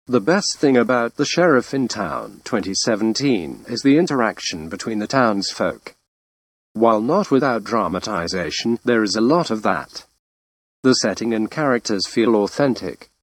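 A man narrating a scripted film review in an even, read-out delivery. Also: lowish voice, moderate pace.

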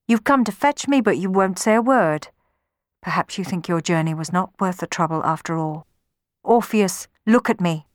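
A woman's voice: fairly low pitch, normal speed, dry recording, studio quality, lightly acted. A woman voicing a hurt young wife in a Greek myth storybook, plaintive and reproachful. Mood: hurt, grumbling.

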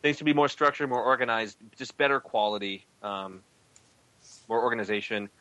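A man's voice: mid-range voice, fairly quick, pushed and bright, dry recording, dull audio. A man hosting a podcast, chatting loosely with a rambling style and many fillers. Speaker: podcast host. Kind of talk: casual podcast chat.

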